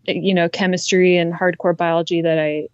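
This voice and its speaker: A young woman from Ohio, a conservationist, talking in a relaxed, reflective way as a guest in an interview. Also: fairly low pitch, normal speed, dry recording, lo-fi sound. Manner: relaxed, reflective, frequent ums. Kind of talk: casual interview conversation.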